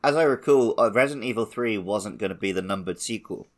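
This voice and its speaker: A man's voice: fairly low pitch, fast talker. A man hosting a casual gaming podcast, talking in a conversational way. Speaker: podcast host.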